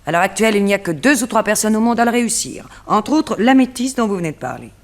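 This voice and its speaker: An actress playing a proud, defensive widow in a French radio mystery play, cool, dignified and curt. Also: female voice, medium pitch, expressive, quick pace, natural voice. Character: proud, defensive female circus sharpshooter, recently widowed.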